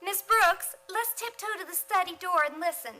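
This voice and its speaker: A woman's voice: very high-pitched, normal speed, sharp tone, soft background music. A woman playing an eager, earnest, girlish teenage daughter in an old-time radio comedy, on a slightly noisy recording. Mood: hushed excitement.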